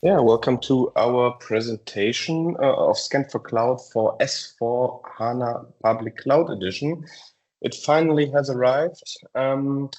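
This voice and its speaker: A man from Germany with a German accent presents a business software webinar, with frequent ums and uhs in a halting delivery. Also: mid-range voice, muffled recording, soft background music.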